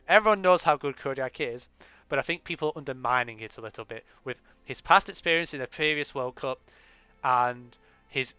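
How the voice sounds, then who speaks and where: A man's voice: on the high side, pushed and bright, smooth, dry recording. A man giving casual spoken predictions as a video creator, hedging and restarting, his voice worn from recent illness. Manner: chatty, hesitant restarts, hedging opinions. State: recovering from illness, voice going. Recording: slightly dull lo-fi sound, faint music.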